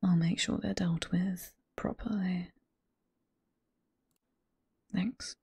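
Breathy British woman acting a flirty librarian boss. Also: lowish voice, steady tone, very slow, no room sound, studio quality, full character voice. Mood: friendly, instructive. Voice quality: breathy, whispery, soft. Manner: playful, coy, teasing. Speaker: voice performer in roleplay. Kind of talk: ASMR roleplay, intimate whispering. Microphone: close microphone.

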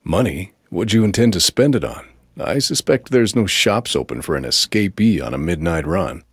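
An actor playing a skeptical military interrogator in a video game, husky and dryly sarcastic. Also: male voice, low-pitched, animated, normal speed, dry recording, studio quality, exaggerated voice. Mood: dry sarcasm.

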